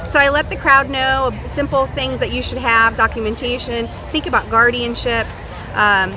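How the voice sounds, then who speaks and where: A middle-aged woman from Puerto Rico, an attorney, speaking plainly and earnestly, with pauses and fillers. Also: on the high side. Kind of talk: rally speech and interview. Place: outdoor street rally. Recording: outdoor rally with street noise.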